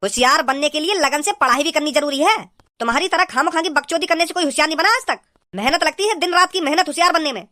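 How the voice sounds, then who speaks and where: Cartoon male teacher, acted, high voice, stern and sarcastic, scolding in rural North Indian dialect. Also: quick pace, pushed and bright. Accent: rural North Indian Hindi dialect. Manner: scolding, lecturing. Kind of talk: comic cartoon dialogue.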